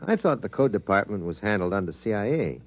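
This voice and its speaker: A man's voice: on the low side, big pitch swings, quick pace. An actor playing a wry, worldly male science reporter in a 1950s radio drama, telling his story with dry humor. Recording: vintage 1950s radio transcription.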